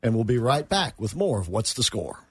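A man's voice: mid-range voice, big pitch swings, brisk, breathy edge, no echo, studio quality. A man hosting a business talk show, asking short, easygoing questions to prompt his guest. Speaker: host.

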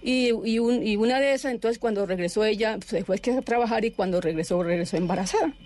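A middle-aged woman from Colombia, telling her life story in an interview, halting and restarting often, sitting back from the microphone. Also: a little high, darkish tone. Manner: halting, with many restarts and fillers. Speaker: interview guest. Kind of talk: personal testimony in an interview. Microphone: sitting too far from the microphone.

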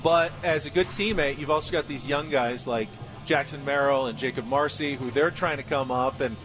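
A man's voice: mid-range voice, normal speed, over music. An American man hosting a live sports radio interview on location at a training camp.

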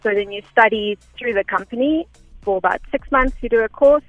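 A woman's voice: a little high, fairly quick, no room sound, narrow, tinny audio. A South African woman explaining things step by step in a conversational, unpretentious way as an interview guest. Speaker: radio interview guest, air traffic information officer. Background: faint background music.

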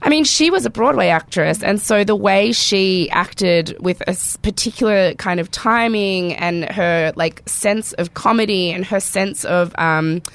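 Australian woman, podcast host, croaky cold voice, chatty, mid pitch, studio. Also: unhurried, few pauses. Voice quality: croaky, voice cracking. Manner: chatty, enthusiastic. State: hoarse from a cold. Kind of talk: casual podcast chat.

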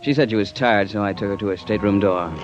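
An actor playing a cool, wry investigator in an old-time radio drama, dry and unhurried. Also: male voice, lowish voice, close to an everyday voice. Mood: cool narration. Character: cool, wry male investigator narrating his own case. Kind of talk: 1940s radio acting, scripted first-person narration. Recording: muffled old radio recording with music.

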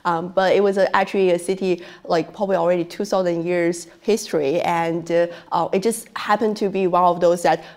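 A woman's voice: on the low side, fairly even intonation, brisk, hardly any pauses, a little mellow. A woman from China with a Chinese accent, whose first language is Chinese, speaking as a researcher guest in a podcast interview with many fillers and restarts.

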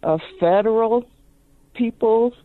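A woman's voice: a little high, slow, no room sound. A woman calling a radio show by telephone, chatting casually in a questioning way over a narrow phone line.

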